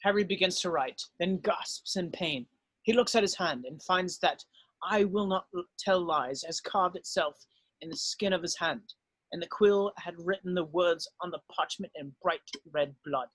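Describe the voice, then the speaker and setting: Man, high voice, scripted narration, screenplay directions, dry room-free sound. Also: moderate pace, fairly bright tone, dry recording. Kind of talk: reading screenplay stage directions aloud.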